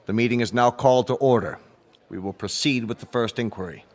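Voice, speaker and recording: A man's voice: mid-range voice, fairly quick, lightly acted. An actor playing a formal assembly chairman in a radio drama, with an official, commanding manner.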